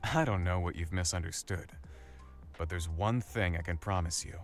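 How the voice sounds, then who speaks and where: A possessive, flirtatious suitor from a visual novel. His voice is very low and intimate, seductive with a menacing edge. Clear background music runs under the dialogue. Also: moderate pace. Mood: smooth, flirtatious. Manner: seductive, close to the ear, veiled threats. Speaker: visual novel love interest. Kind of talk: intimate game voice acting. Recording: game dialogue over loud background music.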